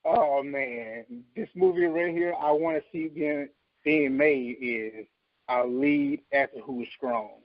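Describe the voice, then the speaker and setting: A man's voice: a little high, fairly even intonation, slow pace, no room sound. A man in his thirties chatting casually and emphatically as a podcast co-host, giving enthusiastic opinions. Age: 30s. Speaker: co-host of a video game podcast. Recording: slightly dull, dry radio-like sound.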